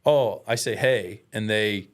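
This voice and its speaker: A man's voice: fairly low pitch, slow pace. An American man talking as a guest in a casual, candid way, telling personal stories with earnest, self-deprecating, slangy speech. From United States.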